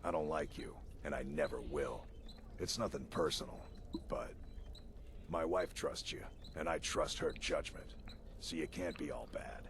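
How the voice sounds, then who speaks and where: A surly werewolf killer from a fantasy video game. His voice sits very low and his delivery is blunt and dismissive. A steady noise bed runs under the game audio. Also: unhurried. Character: gruff hostile werewolf assassin man.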